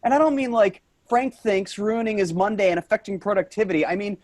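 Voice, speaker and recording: A man's voice: high-pitched, brisk, a little mellow, lightly acted. A man playing an angry, grieving office worker in a staged play reading, blunt and sarcastic. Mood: angry, hurt.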